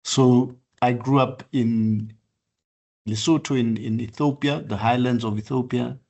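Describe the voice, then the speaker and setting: An older man from southern Africa gives a lecture on his work. He speaks in a measured, halting way, pausing often on fillers and starting phrases over. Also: mid-range voice, fairly even intonation, gravelly, darkish tone. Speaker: architect lecturer. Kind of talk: online practice lecture.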